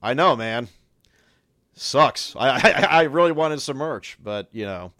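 A man co-hosting a casual football podcast, his voice raspy from a cold, often stumbling over and repeating words and laughing. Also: medium pitch, animated, a little slow, bright, forceful tone, no echo, studio quality. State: sick with a cold. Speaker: podcast co-host.

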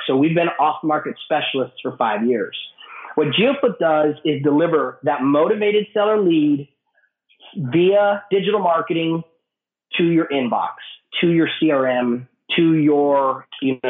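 Hoarse raspy guy with a cold, kinda high voice, sounds like a phone call in an echoey room. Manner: explanatory, with frequent restarts and fillers. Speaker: guest, business owner. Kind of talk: interview answers.

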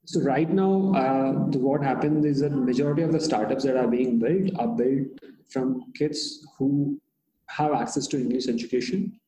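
An Indian-accented man, a native Hindi speaker, answers interview questions in a halting way, full of restarts and fillers. His voice is mellow and dark, and the room gives it a noticeable echo. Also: mid-range voice. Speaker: startup founder, guest. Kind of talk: interview conversation.

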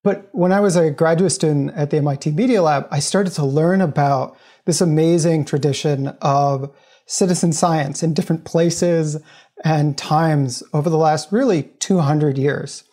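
A man's voice: on the high side, normal speed, mellow. A man from the United States, a professor, answering interview questions earnestly and explaining at length. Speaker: guest, professor.

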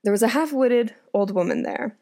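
A woman's voice: fairly high pitch, expressive, normal speed, mellow. A young woman reading a folk tale aloud and breaking off for casual, amused, conversational asides, often chuckling.

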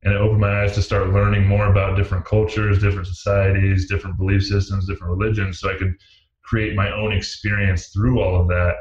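Man, American accent, low flat voice, reflective interviewer, roomy muffled recording. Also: quick pace. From United States. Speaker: interviewer, host. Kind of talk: conversational interview. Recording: echoey room, dull lo-fi audio.

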